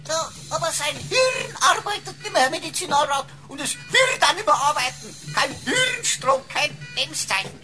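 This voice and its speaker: An actor playing a sardonic, flippant supercomputer sidekick with mock-servile manners in a radio drama. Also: male voice, very high voice, normal speed, hardly any pauses, soft background music, heavily acted. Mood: flippant, morbid. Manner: mock-servile, deadpan gallows humour.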